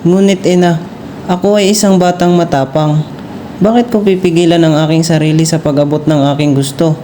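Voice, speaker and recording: Man playing young Jose Rizal, lightly high-pitched. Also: fairly even intonation, a little fast, dark tone, close to an everyday voice. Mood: earnest, questioning. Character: young Jose Rizal, brave, curious, respectful boy. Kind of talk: amateur Filipino radio drama acting. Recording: roomy sound with faint noise.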